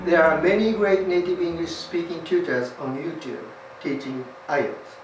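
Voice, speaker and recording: A middle-aged man, a native Japanese speaker with a Japanese accent, giving a formal, measured scripted lecture as an English test tutor. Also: on the high side, fairly even intonation, lo-fi sound, over music. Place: small indoor studio. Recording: roomy, slightly distant sound.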